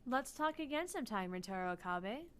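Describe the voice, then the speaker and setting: A woman's voice: fairly high pitch, lively intonation, brisk, a little hiss or hum, natural voice. A woman voicing a prickly young female AI from an anime visual novel, tart but caring. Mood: fond. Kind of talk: visual novel character read-aloud.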